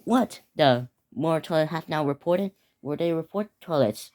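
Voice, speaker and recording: A man's voice: on the high side, animated, a little slow, a little mellow. Teen boy stumbling through a mock alert. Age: teens. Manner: stumbling, restarting words while reading out an alert. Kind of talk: improvised mock emergency broadcast.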